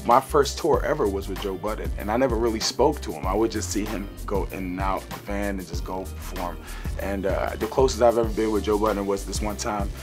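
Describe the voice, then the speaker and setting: A man's voice: on the low side, fairly quick, over music. A young man talking casually in an interview, laid-back, swearing freely and filling gaps with "uh" and "you know". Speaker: rapper guest. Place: studio, green-screen set.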